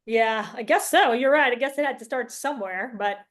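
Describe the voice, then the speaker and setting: Female interview host, high voice, informal, animated and giggly conversational delivery. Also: brisk. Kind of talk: casual chat.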